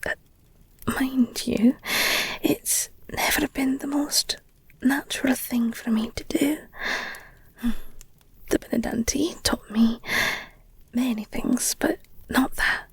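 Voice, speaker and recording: Wanted: woman to play protective, guilt-ridden werewolf girlfriend for audio roleplay. High-pitched, breathy near-whisper, halting, soothing. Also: fairly even intonation, dry recording, full character voice. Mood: remorseful, earnest.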